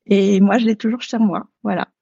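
Guest, woman, 30s, French, halting and reflective, dry slightly dull sound. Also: mid-range voice, fairly even intonation, gravelly, warm tone, breathy edge, dry recording. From France. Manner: hesitant, many fillers and restarts. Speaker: podcast guest. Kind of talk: casual interview talk.

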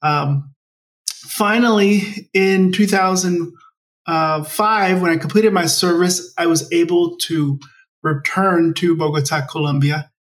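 An American man in his forties talks conversationally as an interview guest, telling his own story. His voice is on the higher side and sounds a little away from the microphone. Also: steady tone, slow pace. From Maryland, United States. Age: 40s. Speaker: interview guest, nonprofit project leader. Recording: distant from the mic.

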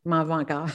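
Québécois woman, eager rally co-driver. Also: deep voice. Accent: Québécois French. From Quebec. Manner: enthusiastic, laughs often, many fillers. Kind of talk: interview answers.

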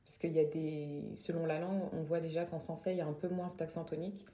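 A young woman with a Parisian accent, a singer talking casually as an interview guest, relaxed with frequent laughs and fillers. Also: deep voice, fast talker. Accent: Parisian French. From French Caribbean family. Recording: echoey room.

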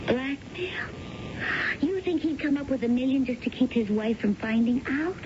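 High-voiced coy American schemer. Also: female voice, lively intonation, moderate pace. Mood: wry, skeptical. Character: coy, bubbly scheming woman posing as a widow. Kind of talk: 1970s radio drama acting. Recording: muffled, noisy old radio broadcast.

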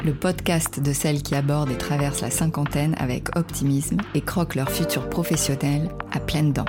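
A woman's voice: low-pitched, steady tone, moderate pace, over music. A woman hosting a podcast as a career coach, reading an upbeat, emphatic scripted introduction and then greeting her guest.

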